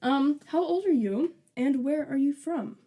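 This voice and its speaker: Mellow-voiced young woman, joking and casual. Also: sits high, unhurried. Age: 20s. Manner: casual and joking, laughs easily. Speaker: podcast co-host, alternative medicine student. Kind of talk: casual chat.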